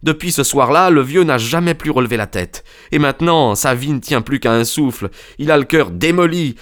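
A man voicing a distraught young gentleman in an audiobook story, agitated and emphatic. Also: medium pitch, expressive, moderate pace, pitched above the performer's own voice, natural voice. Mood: agitated, bitter.

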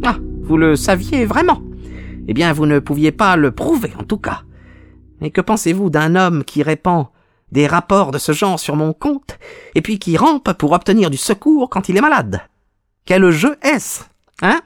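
A sneering, cruel storybook villain. The voice sits high and swings about as he taunts with mock politeness. It is recorded dry, with faint music behind. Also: big pitch swings, unhurried, no room sound. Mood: cold, taunting. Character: sneering, gloating villain, a cruel male visitor. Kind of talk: audiobook character acting.

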